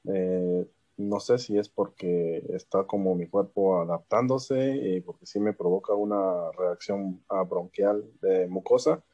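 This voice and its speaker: A man's voice: sits low, measured pace, mellow, muffled recording. A man from Guatemala asking a doctor a question on a video call, polite and hesitant, with many fillers.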